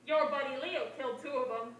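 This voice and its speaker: Woman acting high-voiced scheming noir femme fatale, echoey. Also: brisk, close to an everyday voice. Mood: brazen, gloating. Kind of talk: 1940s noir stage acting. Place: indoor stage, distant microphone. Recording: echoey stage recorded from far, faint noise.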